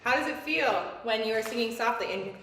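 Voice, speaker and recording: A woman's voice: fairly high pitch, a little fast, fairly bright tone, a little hiss or hum. A woman coaching singing exercises step by step, encouraging and given to playful asides. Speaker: singing teacher leading vocal exercises. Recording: echoey room, distant mic, music notes behind.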